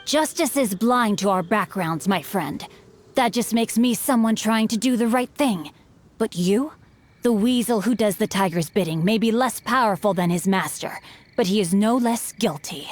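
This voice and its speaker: A woman's voice: medium pitch, normal speed, a little hiss or hum, natural voice. A woman voicing a courteous, witty young noble swordsman in a game. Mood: gallant. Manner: courteous, poised.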